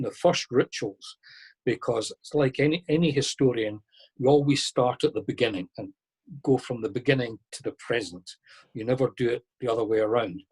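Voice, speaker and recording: Husky-voiced man explaining casually. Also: medium pitch, low-quality recording. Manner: relaxed, conversational, thinking aloud with restarts. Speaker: lecturer on masonic history. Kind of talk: online lecture Q&A. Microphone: video call.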